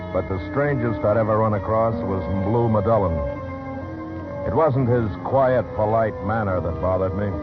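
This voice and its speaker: Radio western lead: stern American lawman played by a man, deep voice, laconic delivery, muffled vintage sound. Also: natural voice. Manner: terse, deliberate, laconic. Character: weary, stern frontier marshal, gruff and steady. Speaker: narrator and lead actor. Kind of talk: 1950s radio western acting. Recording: dull old radio recording with music.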